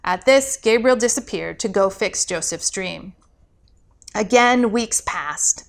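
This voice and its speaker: A woman telling a children's Christmas story as an expressive read-aloud, in the manner of a storytelling host. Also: medium pitch, takes it slowly, vocal fry. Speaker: pastor and storyteller, show host.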